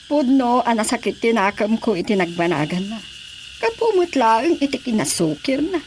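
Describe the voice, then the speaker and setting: A woman's voice: a little high, very animated, normal speed, darkish tone, a little hiss or hum. A woman acting a stern, complaining lady of the house in a radio drama.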